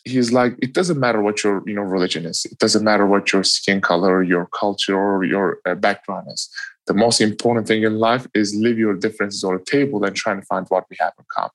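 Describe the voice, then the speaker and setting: A young man with a Turkish accent talking casually and earnestly, leaning on "you know" between thoughts. His voice sits on the low side, and the recording is dry and close. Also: steady tone, fairly quick, no room sound. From Turkey. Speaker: guest, professional athlete.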